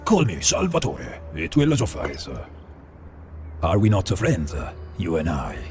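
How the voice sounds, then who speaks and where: Gravelly, swooping Italian mob boss. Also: male voice, sits low, huge pitch swings, a little slow, a little mellow, faint music behind, exaggerated voice. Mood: smooth, expansive. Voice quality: gravelly, creaky. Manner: expansive, silky, insinuating. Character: suave, theatrical Italian mafia kingpin. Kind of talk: dramatic video game cutscene acting.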